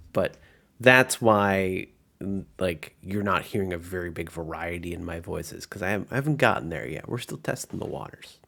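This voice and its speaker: A low male voice with a strong creak, talking casually as a game master. He narrates and reflects on his tabletop campaign in an informal, unpolished, thinking-aloud style. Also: a bit bright. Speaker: game master giving commentary.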